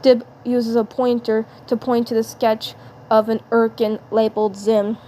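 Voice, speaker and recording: A woman narrating fan fiction aloud as an amateur, haltingly, often repeating words and phrases. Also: on the high side, flat delivery, warm tone, slight background noise.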